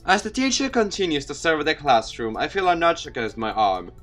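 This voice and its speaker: A man giving an expressive read-aloud narration of a visual novel game as a let's-player. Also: on the high side, brisk, soft background music.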